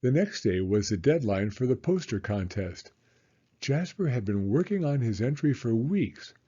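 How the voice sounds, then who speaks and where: Man storyteller with lively, sweeping pitch. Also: slightly rough, lo-fi sound. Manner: theatrical, suspenseful, with drawn-out emphasis. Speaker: children's storybook reader. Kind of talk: dramatic read-aloud storytelling for children.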